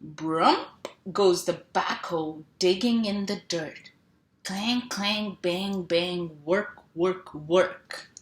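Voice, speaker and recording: A woman reading a picture book aloud to young children in a sing-song, animated way, stretching sound words and asking simple questions. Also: on the low side, extremely slow. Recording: roomy echo, clear background noise.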